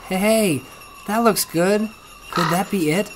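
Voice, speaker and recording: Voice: mid-range voice, slow, background noise, pitched above the performer's own voice, lightly acted. A man voicing a young heir to Santa in a storybook, a wondering, bewildered newcomer. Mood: curious, pleased. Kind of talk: storybook character voice. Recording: loud music under the voice.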